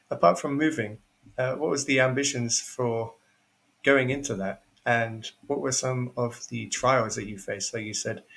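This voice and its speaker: A man's voice: mid-range voice, vocal fry, a bit bright, rough recording. A man in his twenties hosting a podcast, encouraging his guest and asking long questions. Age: 20s. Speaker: podcast host. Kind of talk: podcast interview.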